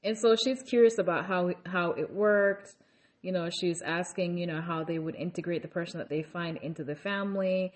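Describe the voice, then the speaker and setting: A woman hosting a casual reality TV recap, chatty and conversational, with many fillers and asides, raising her voice to act out quoted lines. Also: moderate pace. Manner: acts out quoted lines in a raised pitch. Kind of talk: casual episode recap commentary.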